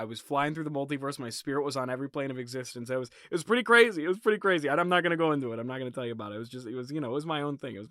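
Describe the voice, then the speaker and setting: A man's voice: fairly high pitch, fairly bright tone, no room sound, studio quality, lightly acted. A man playing a flustered, awkward restaurant boss in a comedy sketch, stammering, hedging and repeating himself. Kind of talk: improvised comedy sketch acting.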